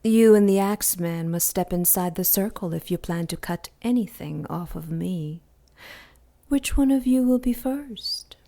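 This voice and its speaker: A woman's voice: fairly low pitch, measured pace, dark tone. An actress playing an ancient female demon in an audio drama, proud, wicked and taunting. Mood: sardonic. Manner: sardonic, taunting.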